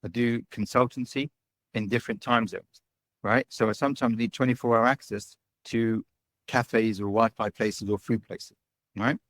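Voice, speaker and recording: A middle-aged man talks through his interview answers in a relaxed, chatty way, checking in with "right?" and "you know" as he goes. His voice sits at an ordinary middle pitch. The sound is close and dry, with almost no room around it. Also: moderate pace, dry recording. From United Kingdom. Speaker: guest, digital nomad and business owner.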